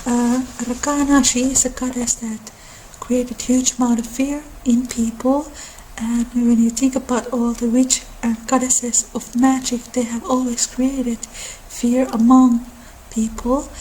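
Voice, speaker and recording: A woman hosting an informal ASMR-style talk in an unhurried, halting delivery. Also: fairly high pitch, warm tone, slight background noise, low-quality recording. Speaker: video channel host.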